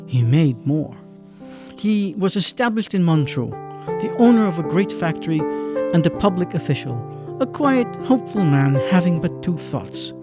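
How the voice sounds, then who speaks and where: A man narrates a classic story for a radio drama. His delivery is measured and solemn, like scripted literary storytelling. Guitar and piano music plays clearly behind him. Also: on the high side.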